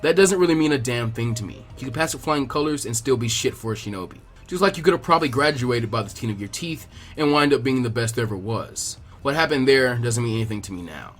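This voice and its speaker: A man puts on the voice of a gruff veteran ninja teacher in an anime fan story. He speaks low, with a dry, unhurried and dismissive manner, as if effort bores him. Music plays underneath. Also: expressive, close to an everyday voice. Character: gruff, lazy adult male elite ninja instructor. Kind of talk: acted fan fiction reading.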